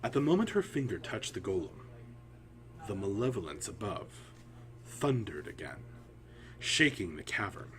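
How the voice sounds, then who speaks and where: A man telling a fantasy story in a solemn, theatrical way, with deliberate phrasing and dramatic pauses. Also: on the low side, sing-song.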